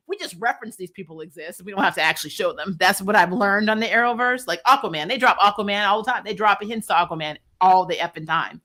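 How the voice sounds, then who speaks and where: Bright-voiced woman chatting through a recap. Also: fairly low pitch. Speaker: host of a TV recap show. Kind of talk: casual livestream review chat, reading viewer comments.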